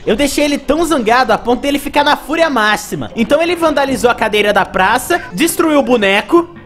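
A woman's voice: sits high, expressive, quick pace. A woman with a Brazilian accent narrating video game play as a reviewer, with music behind. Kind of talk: gameplay commentary narration.

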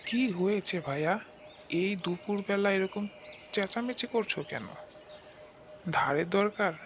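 A man's voice: high voice, background noise. A man playing a callous, stingy village landlord in a cartoon, scolding and dismissive. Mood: irritated. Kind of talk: Bengali cartoon character voice acting.

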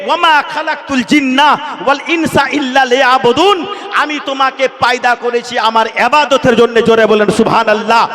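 A man preaching a fiery, declamatory Islamic sermon, repeating phrases and calling on listeners to respond. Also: very high voice. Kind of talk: fiery public religious sermon at a waz mahfil. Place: large religious gathering, over a loudspeaker. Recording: loudspeaker PA with long hall reverb.

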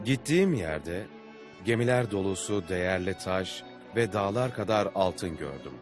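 A man's voice: low-pitched, lively intonation, measured pace, over music. A man voicing an earnest, adventurous traveller telling wondrous tales in a dubbed cartoon. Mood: earnest wonder.

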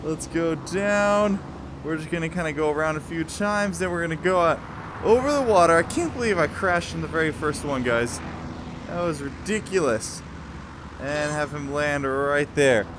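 High-pitched young man doing excited gameplay commentary. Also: normal speed, noisy background. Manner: playful, exclamatory, talks along while moving in a VR game. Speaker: gaming video host.

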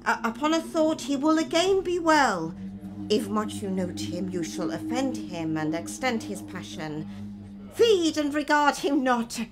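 An actress playing a commanding, controlled queen in a classical Shakespearean radio drama, speaking with measured, formal diction. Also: on the high side, animated. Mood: urgent, composed. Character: commanding, controlled queen scolding her unstable husband.

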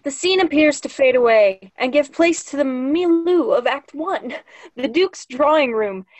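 A woman's voice: high-pitched, no room sound, low-quality recording. A young woman reading stage directions as scripted narration. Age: young adult. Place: small lit room at home, on a video call.

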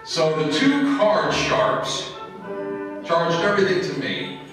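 A man playing a long-suffering, deadpan husband who narrates an old-time radio comedy on stage with puns. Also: fairly high pitch, slow, exaggerated voice. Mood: resigned, deadpan. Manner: deadpan, punning. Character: wry, long-suffering husband narrating his wife's scheme. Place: public hall stage before seated audience. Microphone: far camera. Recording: echoey hall, distant mic, some music.

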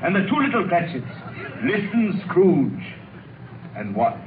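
Grand giant spirit, noisy old radio. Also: male voice, high-pitched, sing-song, a little slow. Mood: solemn showing. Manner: grand, declamatory. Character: Ghost of Christmas Present, jolly commanding giant spirit. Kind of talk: 1930s radio acting. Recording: tinny, noisy 1930s radio broadcast.

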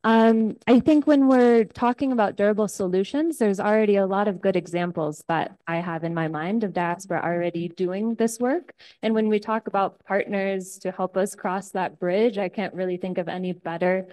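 A woman's voice: medium pitch, fairly even intonation, moderate pace, dark tone, low-quality recording. A woman asks a conference panel a question in a casual, lightly joking way. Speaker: conference participant asking a question. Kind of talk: spontaneous audience question at a conference.

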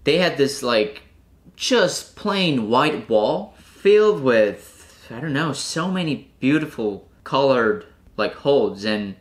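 A young Korean man with a Korean accent, answering viewer questions casually to camera in a small room, pausing to think with fillers. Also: mid-range voice. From South Korea. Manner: relaxed, thinking aloud with fillers. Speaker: climbing vlogger.

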